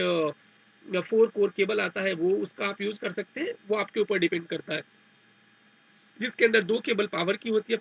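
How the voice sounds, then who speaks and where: Male technical trainer, high-pitched with a gravelly edge, methodical step-by-step explainer, dull narrow recording. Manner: repetitive, step-by-step explaining with English technical terms. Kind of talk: explanatory tutorial over a diagram.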